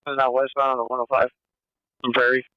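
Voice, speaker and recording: A man's voice: mid-range voice, monotone, quick pace, clean tone, dry recording. A male police dispatcher relaying callouts over police radio in a clipped, procedural way. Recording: muffled police scanner radio.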